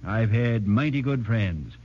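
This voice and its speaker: An actor playing a plain-spoken, sincere pioneer blacksmith with a rural American accent in a 1940s radio drama. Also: male voice, lowish voice, expressive, measured pace, husky. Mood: resolute, hopeful. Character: determined, folksy male New England pioneer blacksmith. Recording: muffled 1940s radio broadcast.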